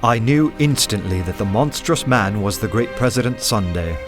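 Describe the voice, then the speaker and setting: Guy playing detective telling his story, radio drama, deepish voice, literate and suspenseful, music behind. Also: expressive, moderate pace, lightly acted. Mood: mounting dread. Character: undercover male detective narrating his tense adventure. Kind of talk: dramatic first-person narration over music.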